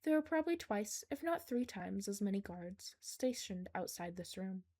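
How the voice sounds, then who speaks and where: A woman's voice: mid-range voice, very animated, a little mellow. A woman voicing an intelligent, whiskered captive creature in an audiobook, speaking precisely and without emotion. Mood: calculating. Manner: measured, unemotional, precise. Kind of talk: audiobook character dialogue.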